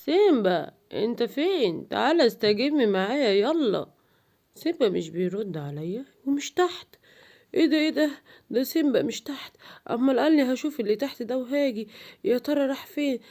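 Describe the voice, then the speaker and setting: A woman's voice: fairly high pitch, animated, a little fast, a little mellow. A woman with an Egyptian accent voicing a fatherly, commanding king in a children's cartoon. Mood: worried. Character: father lion king, fond, bossy, protective of his son. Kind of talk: animated children's story.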